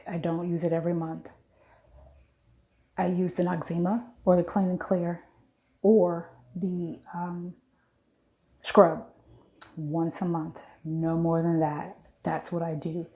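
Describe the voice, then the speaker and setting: Low, mellow-voiced woman in her late forties. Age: 40s. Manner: chatty, self-correcting, frank. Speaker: beauty vlogger. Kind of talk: casual skincare walkthrough.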